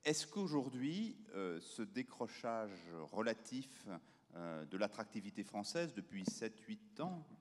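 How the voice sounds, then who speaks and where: A man's voice: fairly low pitch, very animated, slow. A man moderating a round table, posing long questions with many fillers and restarts. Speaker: panel moderator. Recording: reverberant conference hall.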